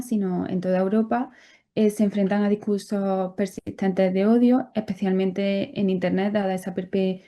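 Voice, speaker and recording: A woman's voice: mid-range voice, highs cut off. A woman presenting her nonprofit's project at an online event, earnest and hesitating often.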